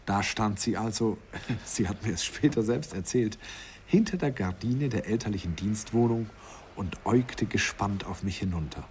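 An actor narrating a radio play as a grown man fondly recalling his youth, softly and wistfully, sometimes whispering. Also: lowish voice, moderate pace, a little hiss or hum, close to an everyday voice.

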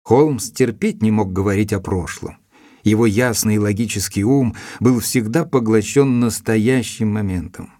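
An actor playing a detective's loyal companion, narrating his memoirs in a calm, measured, reflective way for an audio drama. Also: lowish voice, no room sound, clean studio sound, lightly acted. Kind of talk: audio drama, scripted first-person narration.

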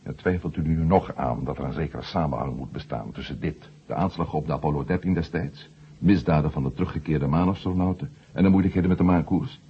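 A man's voice: very deep voice, fast, breathy edge, exaggerated voice. An actor playing a gloomy, suspicious man issuing warnings in a 1970 sci-fi radio drama. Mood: grim, suspicious. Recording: dull old radio recording.

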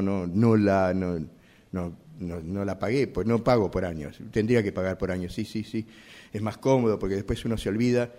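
An actor playing a flustered, evasive driver in a radio theatre exercise, stammering and repeating himself. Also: male voice, lowish voice, dark tone, natural voice. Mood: defensive. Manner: stammering, repetitive, hesitant. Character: flustered, evasive male driver pleading with police. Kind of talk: improvised radio theatre monologue.

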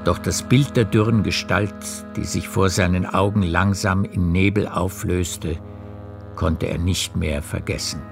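A male narrator tells a literary story for radio. His voice is low with a marked creak, and he phrases deliberately. Soft music sits faintly behind him.

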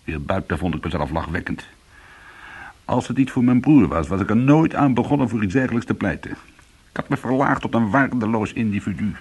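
Weary ex-convict, radio drama, low rough voice, lively intonation, defensive but soothing. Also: male voice, brisk. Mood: rueful. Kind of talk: radio drama acting and first-person narration.

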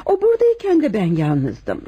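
An actress playing a lonely, flirtatious wife in a radio play, speaking in a coy, intimate way. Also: female voice, mid-range voice, huge pitch swings, normal speed, husky, lo-fi sound, exaggerated voice. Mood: flirtatious, wistful. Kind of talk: Turkish radio theatre acting.

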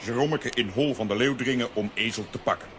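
A man's voice: mid-range voice, fairly quick, a bit bright, a little hiss or hum, close to an everyday voice. An actor playing a blunt strongman in a children's audio drama, speaking tersely in broken caveman grammar. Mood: commanding. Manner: terse, third-person broken syntax.

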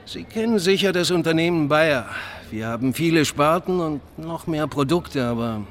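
A man playing a smooth, discreet company security chief in a radio crime drama, formal and evasive. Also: on the high side, expressive, moderate pace, lightly acted. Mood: courteous, guarded.

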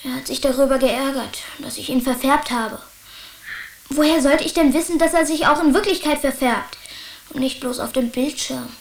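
A woman's voice: high-pitched, moderate pace, roomy sound. A woman playing an earnest, curious and stubborn young boy with a childlike, questioning way of talking in a radio play. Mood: worried.

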